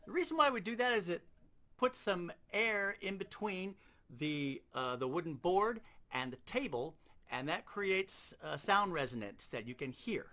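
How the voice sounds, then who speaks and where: A man's voice: high-pitched, expressive, a little slow, sharp tone. A man giving an informal instructional demonstration, explaining things step by step. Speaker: sound effects instructor.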